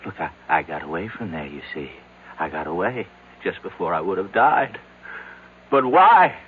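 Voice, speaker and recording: An anguished, dying old man in a vintage radio drama. He rambles haltingly with very lively pitch, through a tinny, faintly hissy radio recording. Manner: halting, rambling, repeats himself. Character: dying, anguished young man turned seventy-year-old. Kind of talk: 1970s radio drama acting.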